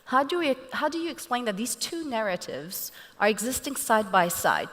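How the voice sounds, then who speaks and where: A woman moderating a panel, asking questions in a measured way. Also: medium pitch, fairly bright tone. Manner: inquisitive, measured. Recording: echoey hall.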